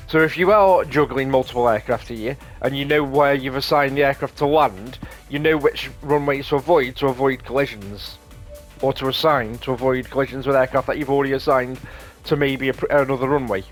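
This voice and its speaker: Male gameplay commentator: average pitch, informal, walks through on-screen instructions, rambles a little, faint game music underneath. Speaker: gaming video host. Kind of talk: casual game commentary mixed with reading tutorial text aloud. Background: light game music.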